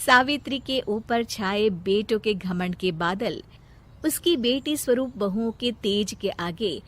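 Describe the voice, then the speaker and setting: A woman's voice: medium pitch, lively intonation, slow. A woman narrating a scripted moral story as the storyteller.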